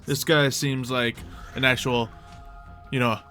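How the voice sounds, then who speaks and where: A man's voice: medium pitch, level tone, unhurried, bright, forceful tone, soft background music. A man acting as game master, casually improvising narration and rulings for a tabletop roleplaying game.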